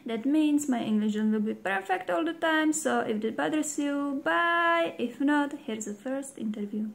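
A woman's voice: high-pitched, lively intonation, measured pace, gravelly. A young Czech woman hosting her own show, speaking English as a second language in an upbeat, playful intro to camera. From Czech Republic. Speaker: show host. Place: home room, indoors.

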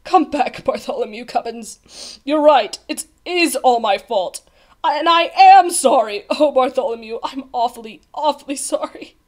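A woman's voice: sits high, moderate pace, close to an everyday voice. A woman voicing a proud, imperious old king in a storybook, with a dramatic manner. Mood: sorrowful, remorseful. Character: proud old king, commanding, groaning, bellowing. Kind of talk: storybook character voice.

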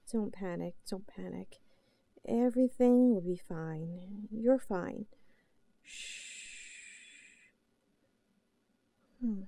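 Woman acting a soft, high-voiced obsessive yandere lover. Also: dry recording. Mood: soothing, hushed. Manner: cooing, halting, breathy asides. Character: obsessive, possessive yandere young woman, sweetly unhinged lover. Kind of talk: roleplay audio drama monologue.

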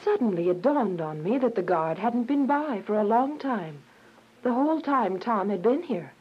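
A woman's voice: mid-range voice, animated, normal speed, slightly rough. Actress as earnest young woman prisoner, muffled recording. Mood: wistful. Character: Alice, earnest devout young woman imprisoned for her faith. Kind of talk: religious radio drama acting with first-person narration. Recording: dull lo-fi radio drama with music.

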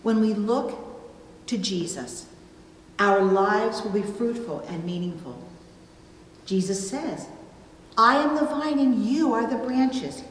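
A middle-aged woman preaching a sermon from a pulpit, with deliberate, emphatic phrasing and pauses. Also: on the high side, animated. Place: indoors at a church pulpit. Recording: roomy, reverberant church, distant, faint noise.

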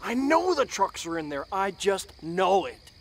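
An actor playing a brash, blunt, simple-minded soldier in a video game. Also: male voice, high voice, sing-song, measured pace, slight background noise, exaggerated voice. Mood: matter-of-fact.

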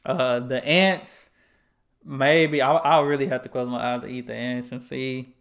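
A man's voice: mid-range voice, fairly even intonation, measured pace, gravelly, a bit bright. A young American man in casual reaction commentary, laid-back and slangy, talking into a headset at home. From United States. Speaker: reaction channel host.

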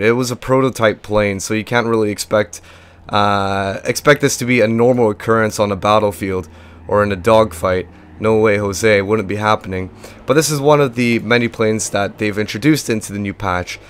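A young man hosts a casual walkthrough of a game, talking in a chatty, rambling way with plenty of fillers. He has lost his voice, so he sits fairly low and stays stuck in one narrow band of pitch. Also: fairly even intonation, a little fast, clear, resonant tone. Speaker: gaming video host.

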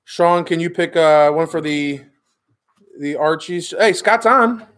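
A man runs a casual card break with friends gathered around a table, calling each name in turn and cracking jokes. His voice sits a touch high and comes across bright and forceful. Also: slow. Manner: calls out names, joking. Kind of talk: casual group chat.

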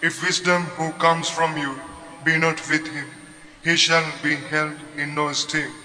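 A man leads prayer responses with hardly any rise or fall in his voice. His tone is bright and somewhat higher than most men's. The long reverb of a large church follows each phrase. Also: measured pace, a little hiss or hum. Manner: flat, even recitation. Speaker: prayer leader. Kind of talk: liturgical responses.

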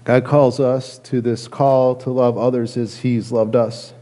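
A middle-aged man, a pastor, preaching a conversational sermon in an earnest, halting way with repeated phrases. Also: mid-range voice, little pitch movement, warm tone. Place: church sanctuary, at a lectern indoors.

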